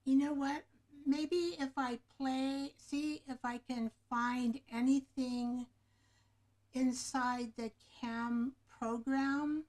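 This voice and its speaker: A woman with a soft, low voice hosting a relaxed craft-along show, chatting to herself as she works, picked up by a camera microphone right in front of her. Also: takes it slowly. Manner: soft, low-voiced, thinking aloud while working.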